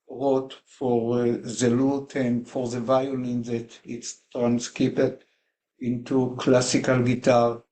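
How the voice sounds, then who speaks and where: A man whose first language is Hebrew, sharing a personal reflection in a class discussion, hesitant with many pauses and fillers. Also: medium pitch, darkish tone. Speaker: class participant.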